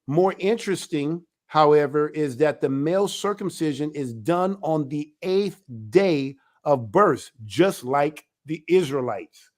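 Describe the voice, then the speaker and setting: A man, an elder of a ministry, giving a religious teaching talk in an emphatic, animated way. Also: a little high, measured pace. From United States.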